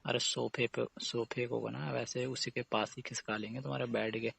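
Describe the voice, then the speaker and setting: Gravelly, husky young man, teasing. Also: medium pitch, little pitch movement, normal speed, fairly bright tone, no echo. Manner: teasing, persistent questioning. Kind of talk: intimate casual phone chat. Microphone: phone call.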